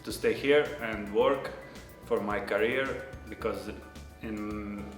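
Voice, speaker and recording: A man from Croatia, a culinary student and chef giving an interview testimonial in halting, simple English, often repeating words. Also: fairly low pitch, steady tone. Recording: echoey room with background music.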